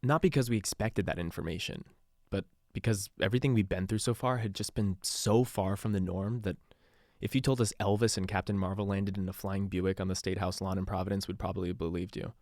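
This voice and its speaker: Male investigative radio narrator, very low register, intimate dry sound. Sober, reflective, matter-of-fact. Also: fairly even intonation, fairly quick, darkish tone, no echo. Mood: reflective, wry. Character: sober male radio journalist recounting a strange island mystery. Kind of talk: scripted first-person documentary narration.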